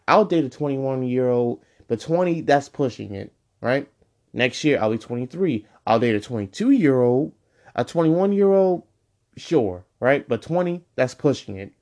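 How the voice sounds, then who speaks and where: A man's voice: medium pitch, a little mellow. Casting note: young male podcast host in his twenties, loose, meandering solo chat full of "um" and "like", mid-range voice. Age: 20s. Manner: rambling, full of fillers and self-corrections. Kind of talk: casual solo podcast monologue.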